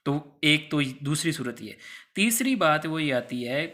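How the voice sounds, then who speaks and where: Bright-voiced Pakistani man giving a lecture. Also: a little high, big pitch swings, unhurried. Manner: didactic, conversational, acts out hypothetical examples. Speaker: law lecturer. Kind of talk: explanatory lecture with English legal terms mixed in.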